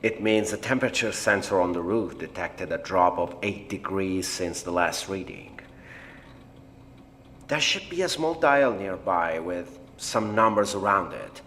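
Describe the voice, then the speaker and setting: Man acting as low-voiced, measured scientist over background noise. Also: fairly bright tone. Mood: focused. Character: blind male scientist, reflective, apologetic, technical project doctor. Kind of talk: audio drama acting.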